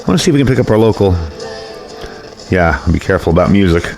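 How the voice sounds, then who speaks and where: Low-voiced man casually demonstrating a product. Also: animated, quick pace, gravelly, over music. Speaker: radio product reviewer.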